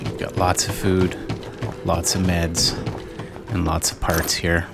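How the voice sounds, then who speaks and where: A grave, weary father speaks his wartime journal in a low voice, acted by a man for a game dramatization. The delivery is solemn and music plays clearly underneath. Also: level tone, takes it slowly, full character voice. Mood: grave, guilty. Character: Christo, weary war-torn father writing a journal. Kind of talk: dramatized first-person journal monologue.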